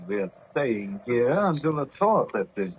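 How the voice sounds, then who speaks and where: A polar expedition captain, courteous yet commanding. His middle-pitched voice is measured and formal, in old-time radio style. The vintage recording is dull with faint noise. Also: sing-song. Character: ship's captain, calm, authoritative, courteous polar explorer. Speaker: actor in a radio drama.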